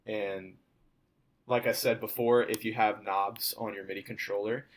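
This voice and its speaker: A young man, a student, walking through a demo of his software project in an informal, step-by-step way. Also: on the low side, fairly even intonation, a little slow, poor audio. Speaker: student presenting a class project.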